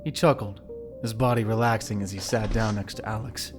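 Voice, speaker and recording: A man's voice: on the low side, fairly even intonation, brisk. A man giving scripted, dramatic story narration for an audio drama. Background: background music.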